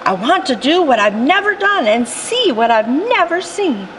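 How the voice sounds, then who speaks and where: A woman's voice: high-pitched, sing-song, normal speed, a bit bright, slight background noise, pitched above the performer's own voice, low-quality recording. A woman voicing an eager, adventurous string bean in a storybook.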